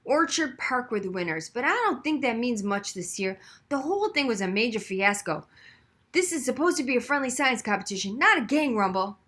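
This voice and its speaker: Woman as a harried male superintendent, bright voice. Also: fairly high pitch, very animated, a little fast. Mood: exasperated, uncertain. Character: frazzled male school superintendent, rumpled and harried.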